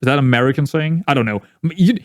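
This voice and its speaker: A young man with a Danish accent, a native Danish speaker, chatting casually through a football management game, rambling with many restarts and jumping into excited outbursts. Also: mid-range voice, quick pace, dry recording, clean studio sound. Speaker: gaming YouTuber.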